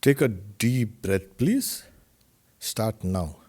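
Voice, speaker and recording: A man with a lowish voice guides a meditation at a very slow pace. He gives brief, measured instructions as a spiritual teacher would, each phrase set apart from the next. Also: lively intonation, darkish tone, breathy edge.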